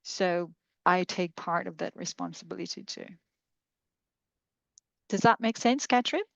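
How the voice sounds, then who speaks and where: A woman's voice: lowish voice, unhurried, vocal fry, dry recording, lo-fi sound. A woman coaching trainer leading a group video call, inviting participants to respond and share.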